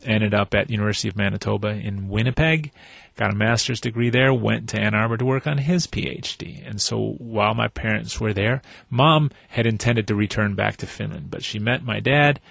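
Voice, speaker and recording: A man in his sixties with a low, gravelly voice, recounting his family's story as an interview guest. He talks in a relaxed, plain-spoken way. The recording is dry and close. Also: a little fast, no room sound. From United States. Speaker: guest activist. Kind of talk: conversational interview.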